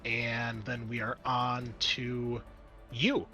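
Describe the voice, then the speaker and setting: A man acting as game master, narrating the action and explaining rules to players in an animated way. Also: mid-range voice, very slow. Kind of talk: narrating and refereeing a tabletop roleplaying game. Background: background music.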